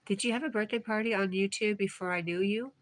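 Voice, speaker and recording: A woman's voice: mid-range voice, fairly even intonation, quick pace. A woman hosting a casual livestream, reading viewer comments aloud and replying in a chatty way. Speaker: livestream host.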